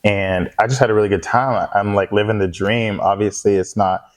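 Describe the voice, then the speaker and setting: A young man, a pop singer as guest, telling stories in a casual interview, animated and laughing often with slangy phrasing. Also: lowish voice, fairly quick.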